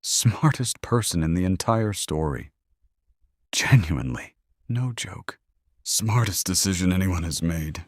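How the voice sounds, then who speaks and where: A man playing a skeptical, sarcastic podcast listener growing unnerved by a horror tale, reacting with deadpan disbelief. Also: low voice, unhurried, dry recording, clean studio sound. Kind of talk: scripted horror podcast banter.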